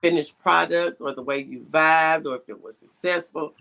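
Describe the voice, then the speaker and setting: Female podcast host, bright forceful tone with plenty of vocal fry, conversational interviewing, music underneath. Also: deep voice, normal speed, poor audio.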